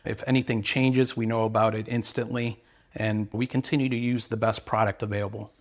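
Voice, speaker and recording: A man's voice: lowish voice, little pitch movement, a little fast. A man, an earnest, sales-minded company representative explaining products and service in interview answers.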